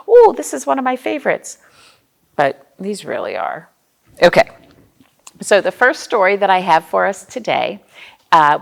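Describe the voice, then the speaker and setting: A woman's voice: mid-range voice, creaky. An American woman hosting a storytime for young children, reading aloud expressively and explaining things simply with questions to her listeners. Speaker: children's librarian, storytime host.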